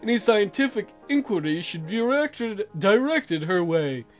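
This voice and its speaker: An upbeat male colony mayor, put on by a man reading a visual novel. He speaks high and projects like a folksy public announcer. Music plays underneath. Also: big pitch swings, measured pace. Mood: announcing.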